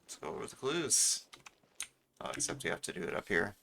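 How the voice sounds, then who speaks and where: A man's voice: low-pitched, big pitch swings, fairly quick, gravelly, pushed and bright, a little hiss or hum. A man streaming a game with casual, playful commentary, sometimes reading choices aloud.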